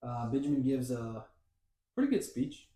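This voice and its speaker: A man on a casual podcast recaps a film scene by scene and tosses in joking asides. His voice is mellow and dark. The sound is roomy, with the echo of an untreated room. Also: medium pitch, big pitch swings, normal speed. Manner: walks through the plot with playful asides. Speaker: podcast host. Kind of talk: casual movie recap chat.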